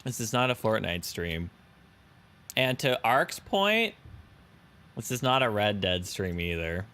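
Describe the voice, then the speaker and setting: Slow, smooth low-voiced male streamer. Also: big pitch swings, sharp tone. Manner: chatty, answers viewers' chat and thanks subscribers. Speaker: live streamer. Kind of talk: casual live-stream chat while flying a simulator.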